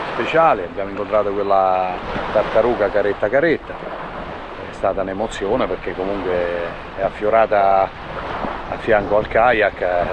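A relaxed man in his thirties gives easygoing commentary to an action camera at arm's length while out on the sea. His voice sits fairly low. The sound is dull, and wind and water noise come through clearly. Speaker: fishing vlogger. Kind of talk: casual outdoor vlog narration. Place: outdoors on a kayak and beach by the sea. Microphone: action camera held at arm's length.

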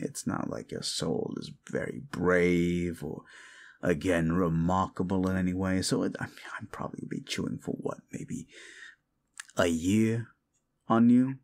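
A man's voice: lowish voice, creaky, exaggerated voice. A man playing a sardonic, hungry demon in an audio roleplay, talking to the listener in a condescending, theatrical, mocking way with muttered asides. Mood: smug, hungry.

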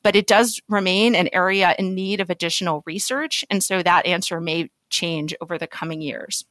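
A woman speaking as an expert medical guest in an interview, explaining in an articulate, measured way. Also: lowish voice, steady tone, fairly bright tone, dry recording.

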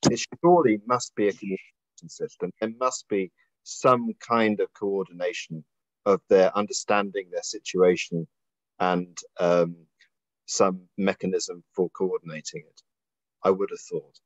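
Older man, low voice, thoughtful questions. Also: fairly even intonation, measured pace, no echo. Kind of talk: casual academic discussion. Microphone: video call. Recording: dry, dull video call audio.

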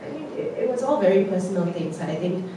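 A woman speaks on a theatre panel in a bubbly, lively way, her pitch often jumping up. She sounds mid-range and distant from the mic. The room rings loudly, with steady noise. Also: fast talker, very echoey. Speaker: actor on panel. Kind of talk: post-show panel discussion. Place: large theatre stage.

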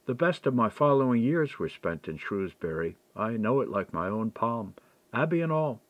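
A man's voice: medium pitch, big pitch swings, moderate pace, no room sound, close to an everyday voice. A man reading audiobook dialogue as a seasoned, frank and reflective man-at-arms. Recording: dull, lo-fi dry recording.

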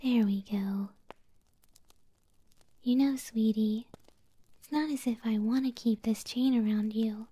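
A woman voicing a possessive, doting moth monster girlfriend in a soft, sweet, breathy ASMR whisper, close to the microphone. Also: fairly high pitch. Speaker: ASMR roleplay performer.

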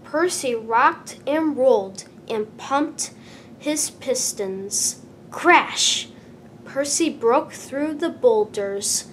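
A woman reading a children's story aloud, with deliberate, expressive emphasis. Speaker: storybook reader. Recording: clear background noise with low rumble.

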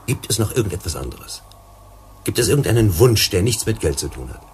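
An actor playing an earnest, persuasive psychologist in a German sci-fi radio drama, explaining and pleading. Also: male voice, fairly low pitch, very animated, fairly quick, darkish tone, slight background noise. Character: earnest, persuasive male company psychologist and negotiator.

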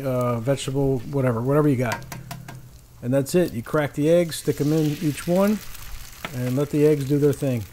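Easygoing man over loud griddle sizzle. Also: mid-range voice. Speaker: cooking show host. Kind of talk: casual cooking demonstration. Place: outdoor paved patio beside a griddle. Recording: clear sizzling griddle noise behind voice. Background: frying and sizzling food.

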